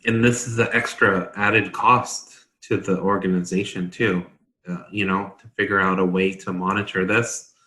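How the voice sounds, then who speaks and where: A man with a deep voice explains things casually in a panel discussion. His delivery is halting and tentative, and the sound is echoey and roomy. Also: little pitch movement, sharp tone. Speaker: consultant discussing a standard.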